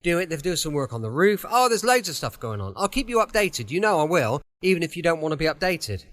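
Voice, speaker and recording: A man's voice: a little high, sing-song, quick pace, a bit bright. A man hosting an old-time radio podcast from his home, chatting in a friendly, rambling way before the episode. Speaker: podcast host. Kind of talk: casual chatty podcast introduction.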